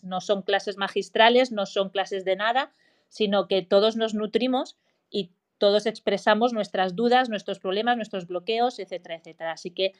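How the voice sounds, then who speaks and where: A woman with a mid-pitched voice hosting a live audio room. She rambles a little, restarts sentences and repeats words as she welcomes her guest and invites listeners in.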